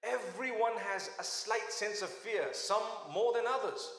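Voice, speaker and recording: A man's voice: sits high, measured pace, fairly bright tone, faint music behind. A man preaching in the style of a religious sermon. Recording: echoey hall-like reverberant clip.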